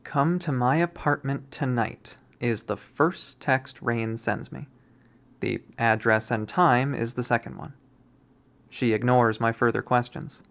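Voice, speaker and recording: A person reading visual novel narration aloud in an expressive storytelling manner. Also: mid-range voice, a little slow, vocal fry. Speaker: video game reader.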